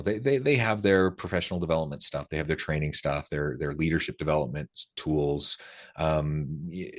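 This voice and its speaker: A man's voice: extremely low pitch, a bit bright. A man hosting a podcast interview in a conversational way, wordy and often doubling back on his words. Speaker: podcast host.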